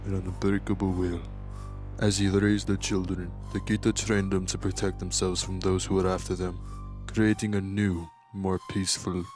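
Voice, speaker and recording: A man playing a devoted ninja father and skilled warrior in an audio drama, speaking in a measured, solemn, soft way. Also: low-pitched, natural voice.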